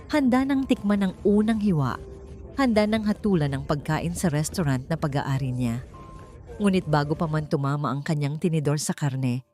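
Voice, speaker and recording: A Filipino woman reads a scripted story as an audiobook narrator. Her voice sits a little low, and her pitch moves in a lively way as she tells it expressively and with measure. Music plays behind her. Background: background music.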